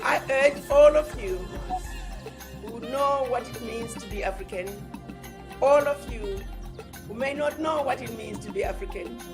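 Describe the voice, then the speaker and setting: A woman with a slightly high voice delivers an earnest, rallying message. Clear background music plays under her measured, heartfelt words. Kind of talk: recorded endorsement message.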